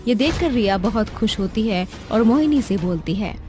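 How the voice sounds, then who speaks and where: A woman's voice: mid-range voice, animated, normal speed. A woman narrating a cartoon story in a plain, scripted storytelling way. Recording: clear background music.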